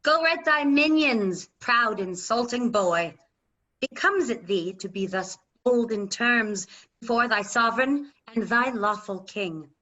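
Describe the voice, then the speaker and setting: Slightly muffled call audio carries a woman acting a proud Shakespearean queen. The voice is fairly high and forceful, declaiming cutting verse with imperious scorn. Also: measured pace. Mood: imperious anger. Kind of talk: Shakespearean staged reading. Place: remote reading over a video call.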